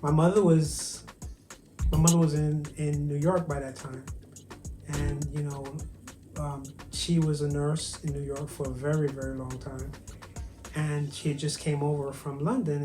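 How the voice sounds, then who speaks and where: A man from Barbados with a Barbadian accent, a chef talking as a guest in a casual virtual interview, soft-spoken and hesitant with many ums. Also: fairly high pitch, fairly even intonation, moderate pace, echoey room, faint music behind. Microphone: video call.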